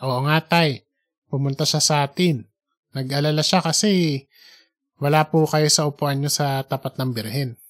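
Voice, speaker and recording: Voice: mid-range voice, moderate pace, pauses often, natural voice. A narrator acts out a man in his mid-sixties in an audiobook tale. He is a dutiful son, plain-spoken and straightforward. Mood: agreeable. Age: 60s. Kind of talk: audiobook character dialogue.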